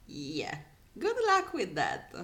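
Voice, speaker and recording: A woman's voice: deep voice, wildly swooping pitch, slow pace, bright, forceful tone, pitched below the performer's own voice, full character voice. A woman voicing an indignant, sarcastic male undercover mentor in a space adventure story, biting and exasperated.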